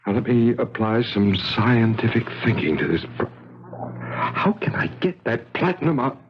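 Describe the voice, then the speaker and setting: An actor playing an excitable, secretive schemer in a 1970s radio drama, with halting repetitions and theatrical emphasis. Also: male voice, mid-range voice, close to an everyday voice. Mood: brisk. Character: excitable, secretive male schemer, condescending to his darling. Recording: dull 1970s radio broadcast recording.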